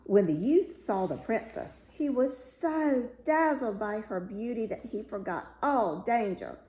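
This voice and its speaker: Female fairy tale narrator, mellow and unhurried, expressive delivery, roomy sound. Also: a little high. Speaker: story reader.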